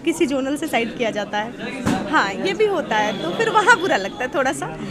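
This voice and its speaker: A woman with a distinctly high voice talks casually as an interview guest. Her manner is informal and giggly, and a clear murmur of people sits behind her. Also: animated, moderate pace, a loud crowd. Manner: informal, giggly, often laughing. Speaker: singer being interviewed.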